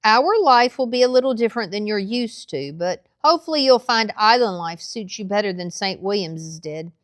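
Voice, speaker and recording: A woman's voice: mid-range voice, animated, moderate pace, no room sound, natural voice. Woman voicing a kindly old seaman, folksy. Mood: reassuring. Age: older. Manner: folksy, reassuring. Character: kindly old seaman taking in a boy, gentle, chuckling.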